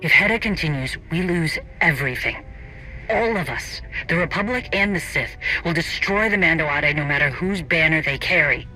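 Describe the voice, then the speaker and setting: A man's voice: fairly high pitch, expressive, moderate pace, sharp tone, soft background music, low-quality recording. A man acting an earnest, resolute Mandalorian clan leader in a video game cutscene, solemn and determined. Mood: grave, resolute.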